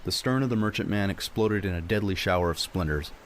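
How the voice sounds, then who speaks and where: A man's voice: deep voice, fast, a little hiss or hum. A man narrating a dramatic scripted adventure story as a storyteller.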